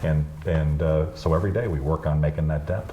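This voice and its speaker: A very low-pitched man talking as an interview guest, loose and rambling with lots of restarts and an upbeat tone. There's a faint hiss of background noise under his voice. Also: fairly even intonation, fairly quick, warm tone, muffled recording. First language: English. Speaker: guest, chamber founder. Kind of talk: casual interview conversation.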